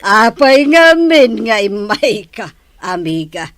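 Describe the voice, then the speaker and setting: A woman acting in an audio drama, talking slowly with frequent exclamations and sighs. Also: fairly high pitch, very animated, a bit bright, dry recording.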